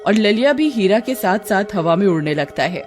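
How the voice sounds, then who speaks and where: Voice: medium pitch, animated, moderate pace. A narrator telling a scripted horror story in the third person. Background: music.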